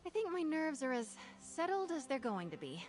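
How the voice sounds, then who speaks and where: High-voiced, nervous young woman knight. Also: big pitch swings, moderate pace, soft background music. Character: nervous, earnest young female knight aspirant. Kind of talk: video game voice acting.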